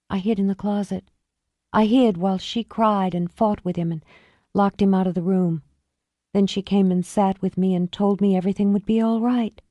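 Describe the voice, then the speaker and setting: A guarded young woman recounts her past in a quiet, held-back voice, voiced by a woman narrator. The tone is mellow and warm. The sound is dry and close. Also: medium pitch, dry recording, muffled recording, close to an everyday voice.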